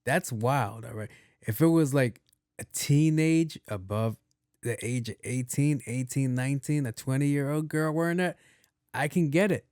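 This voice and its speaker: A young man with a soft, mellow voice talks straight into a dry, close mic. He rambles loosely as a podcast host, restarting sentences and cursing freely. His pitch sits in an ordinary middle range. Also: unhurried, smooth, no room sound. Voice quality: soft, not deep. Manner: loose and profane, with many restarts and repeats. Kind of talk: casual rambling monologue.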